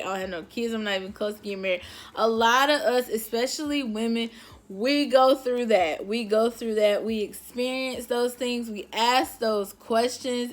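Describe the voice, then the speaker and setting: High-voiced woman vlogger in her late twenties. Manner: emphatic, repeats phrases for stress. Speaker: video blogger. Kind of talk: casual confessional chat to viewers.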